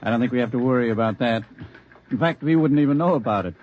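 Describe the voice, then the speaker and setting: A man's voice: mid-range voice, lightly acted. An actor playing a courteous, reserved male scientist in a 1950s radio drama. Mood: polite, guarded. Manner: polite, measured, reserved. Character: mild, courteous civilian scientist, man, humane. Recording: muffled vintage radio recording.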